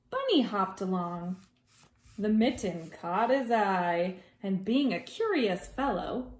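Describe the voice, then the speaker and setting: Expressive woman reading a storybook, roomy sound. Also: medium pitch. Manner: dramatic, with hushed asides to young listeners. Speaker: preschool teacher reading storytime. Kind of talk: expressive children's storybook read-aloud.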